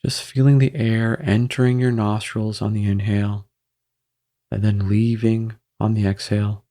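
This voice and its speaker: A man guiding a meditation in a gentle, measured way, with frequent pauses between phrases. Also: lowish voice, little pitch movement. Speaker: meditation guide. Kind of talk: guided meditation narration.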